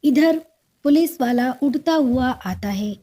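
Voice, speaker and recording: A woman narrates a scripted cartoon story. Her voice is very high and mellow, and she takes the lines at a slow pace. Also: lively intonation, breathy edge.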